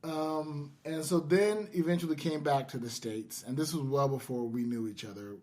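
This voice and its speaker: A man hosting a podcast, telling stories casually in a halting, self-deprecating way. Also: on the high side, darkish tone. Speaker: podcast host. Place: in-person podcast recording in Las Vegas.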